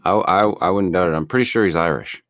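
Low-voiced man in casual, crude podcast banter. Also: fast, a bit bright, no echo, studio quality. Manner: laid-back, swears freely, lots of fillers. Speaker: podcast co-host.

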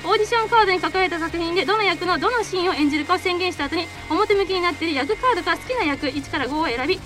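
A woman hosts a radio game segment in a very high voice. She talks quickly as she banters and explains things to the group. Loud music plays behind her. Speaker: host of a radio talk and voice drama show. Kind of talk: lively group banter, hosting a board game.

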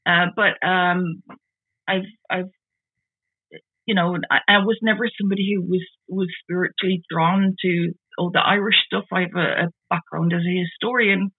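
Gravelly Dutch-accented woman on a tinny line. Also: lowish voice, fairly even intonation, no echo. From Netherlands. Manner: halting, restarts and repeats words often. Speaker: interview guest. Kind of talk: casual conversational interview. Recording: tinny phone-like call audio.

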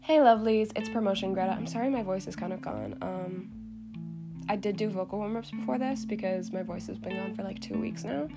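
A woman's voice: mid-range voice, brisk, creaky. A woman hosting a solo podcast, talking in a casual, chatty, informal way with frequent filler words. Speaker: podcast host. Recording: loud guitar music behind the voice. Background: plucked guitar music.

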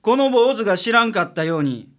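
ラジオドラマで、女性が関西弁のぶっきらぼうで頭の切れる男性探偵を芝居がかった調子で演じている。. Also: female voice, medium pitch, sing-song, brisk, natural voice. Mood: knowing. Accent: Kansai. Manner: brusque, theatrical deductions. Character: gruff, shrewd adult male private detective. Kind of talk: community radio drama acting.

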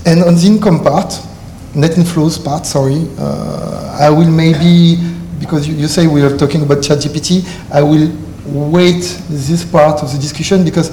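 Man, French accent, panelist, halting speech with fillers, higher pitch, echoey hall, faint noise. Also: steady tone, mellow. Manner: halting non-native English with many filler uhs. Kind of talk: conference panel talk. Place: conference hall.

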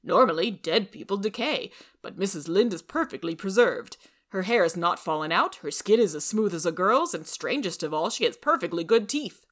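A woman's voice: on the low side, animated, normal speed, a bit bright. A woman voicing a curious male family physician in a storybook, observing in a clinical way. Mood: intrigued. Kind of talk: storybook character reading.

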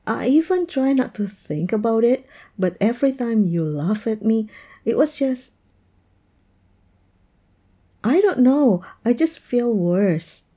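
Woman playing oversensitive young woman, very soft dark mellow tone, slow halting plaintive delivery, intimate relationship roleplay. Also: on the high side, expressive, slightly rough. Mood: hurt, upset. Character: quirky, oversensitive young woman hurt by a teasing partner. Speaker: roleplay voice performer. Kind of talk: intimate audio roleplay, one-sided dialogue.

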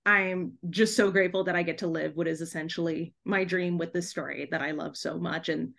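A woman's voice: on the low side, steady tone, fairly quick, vocal fry, fairly bright tone. A woman chatting casually and effusively as an interview guest on a podcast, in a giggly, informal way. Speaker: guest author and podcast host.

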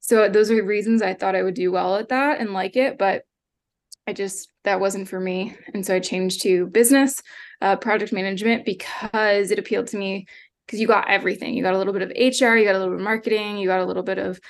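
A woman's voice: medium pitch, steady tone, brisk. A young woman from Pennsylvania, a guest on a video call, chatting casually and laughing lightly as she talks. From Pennsylvania, United States. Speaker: guest, social media manager. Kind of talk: casual interview chat.

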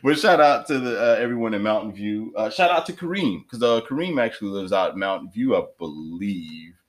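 A man's voice: fairly low pitch, lively intonation, brisk. A man hosting a podcast, joking around and laughing often in loose, rambling chat. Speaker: podcast host.

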